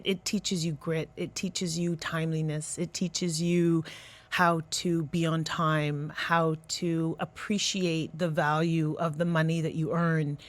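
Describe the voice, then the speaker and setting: A woman with a Canadian accent, a guest on a radio show, explaining her views earnestly with frequent restarts. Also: deep voice. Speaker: guest, youth career coach. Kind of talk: radio interview. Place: radio studio.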